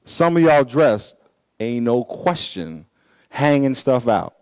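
A man in his fifties, a pastor preaching a blunt, joking sermon to his congregation, with swinging pitch and sudden pace shifts. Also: very animated, slow pace, a little mellow, no echo. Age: 50s.

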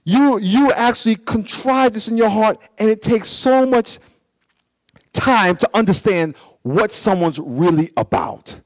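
High-voiced joking preacher man in his fifties. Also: expressive, unhurried, dry recording, rough recording. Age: 50s. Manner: blunt, joking preacher with swinging pitch and sudden pace shifts. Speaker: pastor. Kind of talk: sermon.

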